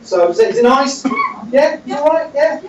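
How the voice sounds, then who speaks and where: A man's voice: very high-pitched, lively intonation, normal speed, a little mellow. A man playing a gossipy, teasing manager who laughs through his lines. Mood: joking. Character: showy joking male boss, gossipy and cheeky. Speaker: health and safety manager. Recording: roomy, distant, dull, noisy hall.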